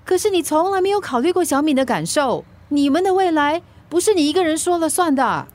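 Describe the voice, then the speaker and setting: A woman's voice: high voice, lively intonation, brisk, a little mellow. A woman with a Singaporean accent playing an earnest, guilt-prone young friend in a radio drama.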